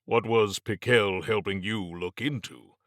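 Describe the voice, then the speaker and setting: A man's voice: on the low side, measured pace, fairly bright tone, no room sound, clean studio sound. A man voicing a blunt, wary male investigator in an audiobook, with a direct, terse manner. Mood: businesslike, probing. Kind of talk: audiobook character acting.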